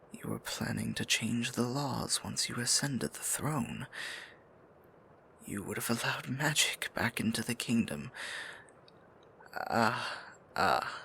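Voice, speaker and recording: A man playing a tender, possessive, courtly dark sorcerer in an intimate fantasy ASMR roleplay, speaking formally in a breathy, gravelly near-whisper. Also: on the low side, a little hiss or hum, heavily acted. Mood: quiet indignation, then earnest, hesitant. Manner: formal, archaic endearments, slow deliberate phrasing.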